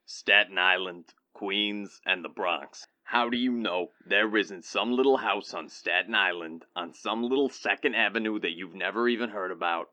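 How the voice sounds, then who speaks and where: A man playing an unhurried, dismissive police desk officer who placates and patronizes, in old-time radio drama style. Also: fairly low pitch, pushed and bright.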